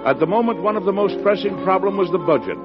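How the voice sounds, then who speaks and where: A genial old-time president. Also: male voice, sits high, animated, fast talker, music in the background. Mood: confiding. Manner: chatty and folksy, thinking aloud. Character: genial, wry older male president. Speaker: president narrating his own story. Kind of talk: 1940s radio acting. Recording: dull, lo-fi old radio recording.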